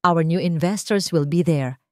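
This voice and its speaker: A woman's voice: lowish voice, moderate pace, no echo, clean studio sound, natural voice. A woman with a Filipino accent voicing a confident, scornful mistress, smug and businesslike, in a story drama. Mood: smug, scheming.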